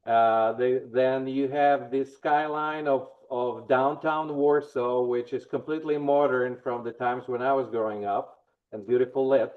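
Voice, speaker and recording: Polish-accented man with halting delivery. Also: medium pitch, steady tone, phone-quality sound. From Poland. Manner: halting, frequent restarts. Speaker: presenter. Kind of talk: town hall presentation.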